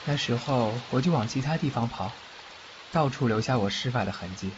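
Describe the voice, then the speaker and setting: A fugitive official of the underworld , acted by a man, doting and cocky, explains things expressively in a mid-pitched voice that moves around a lot. It is a fan audio drama with a muffled sound and faint rainy noise behind. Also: lively intonation, fairly quick, lightly acted. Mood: animated, explaining. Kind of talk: Mandarin fan radio drama acting. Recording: muffled, faint background noise, rain-like ambience.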